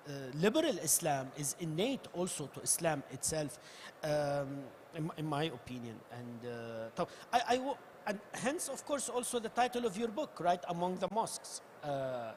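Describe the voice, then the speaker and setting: A man's voice: fairly high pitch, a little hiss or hum. A man interviewing an author on a book fair stage, agreeable and hesitant, with many fillers as he shapes his questions. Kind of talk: panel interview.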